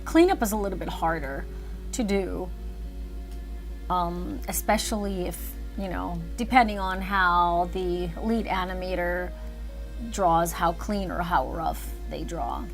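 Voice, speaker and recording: A woman's voice: on the low side, a little slow, faint music behind. A woman chatting casually and thinking aloud as she hosts an animation livestream. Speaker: animator and filmmaker streaming live.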